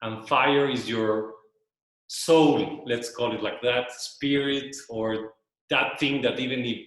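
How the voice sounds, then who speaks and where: A man whose first language is Spanish, giving a casual spoken presentation in a loft, talking slowly and searching for words, often repeating himself. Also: medium pitch, steady tone, fairly bright tone. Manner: slow, searching, repeats words while thinking. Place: loft room with a small audience. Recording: very echoey room.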